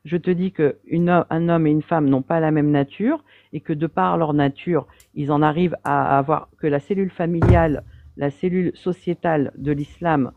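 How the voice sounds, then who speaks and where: A woman's voice: sits low, steady tone, moderate pace, no echo. A woman calling into a live debate, defending her view in a hesitant way with many fillers. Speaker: debate caller. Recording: dry tinny phone line.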